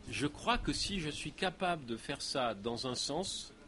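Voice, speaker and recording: A man's voice: a little high, animated, a little slow, bright, forceful tone. A man, speaking French as his first language, answers audience questions after a lecture in a hall, thinking aloud with many fillers and restarts. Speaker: guest speaker.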